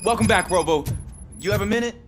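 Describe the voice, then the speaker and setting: A man's voice: high-pitched, quick pace, a little hiss or hum, natural voice. An actor playing an earnest, self-doubting rookie policeman in a video game, polite and a little hesitant. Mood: earnest, apologetic.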